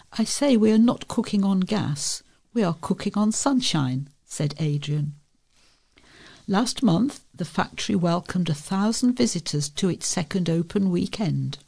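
A woman's voice: medium pitch, animated, a little slow, gravelly, mellow. A woman reading a local newspaper feature aloud as a volunteer reader.

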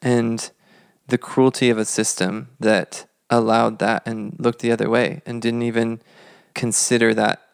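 A man in his thirties tells history conversationally as a podcast co-host. His voice is smooth and fairly even, with a noticeable creak, and his delivery is measured and reflective. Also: medium pitch, no room sound, clean studio sound.

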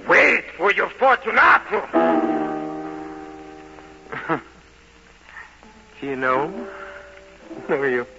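Slow, vengeful murderer in old radio horror. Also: male voice, medium pitch. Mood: taunting, unhinged. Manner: halting, repetitive, theatrical, swinging from whisper-soft to cries. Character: vengeful, jealous murderer, gloating and tormented, confessing. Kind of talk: 1940s radio horror acting, dramatic monologue. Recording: muffled old radio recording with music.

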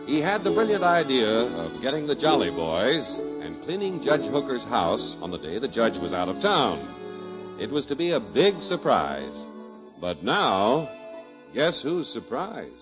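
A man's voice: medium pitch, huge pitch swings, unhurried, over music. A man with an American accent working as a radio announcer, emphatic and salesmanlike in polished 1950s advertising style. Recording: muffled vintage 1950s radio recording.

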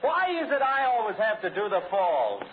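Grumbling, lively radio actor, old recording. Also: male voice, sits high, moderate pace, phone-quality sound. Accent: American. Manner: griping, slangy, sardonic. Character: grumbling, wisecracking, tired male radio actor. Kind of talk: 1940s radio acting. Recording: thin, hissy 1940s radio recording.

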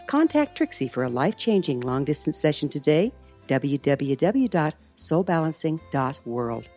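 A woman's voice: sits low, sing-song, moderate pace, warm tone. A woman reading a scripted advertisement in an upbeat, emphatic way. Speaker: advertisement announcer. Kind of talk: scripted ad read. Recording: lo-fi ad with guitar music.

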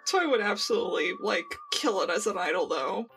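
A woman co-hosting a podcast in casual fan chat, talking in an excitable, playfully sweary way. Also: medium pitch, fairly quick, over music. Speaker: podcast co-host.

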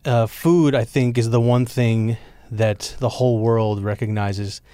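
A man's voice: mid-range voice, fairly even intonation, unhurried, mellow, smooth, no room sound. A man hosting an interview, asking long, chatty, rambling questions. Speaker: host.

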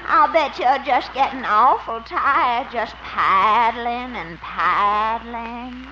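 High-pitched, coy radio sweetheart. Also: female voice, slow pace, sharp tone. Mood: coy, coaxing. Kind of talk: 1950s radio situation comedy acting. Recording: muffled, noisy old radio recording.

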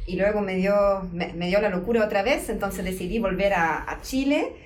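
A young German woman, a legal researcher, answering interview questions in Spanish with thoughtful pauses and frequent "em" fillers. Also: medium pitch. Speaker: guest researcher. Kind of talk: conversational interview.

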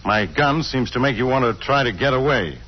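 A man's voice: medium pitch, expressive, a little fast, natural voice. An actor playing a blunt, sarcastic, exasperated male police inspector in a 1940s radio crime drama. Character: gruff, exasperated, suspicious police inspector man. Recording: muffled old-time radio recording.

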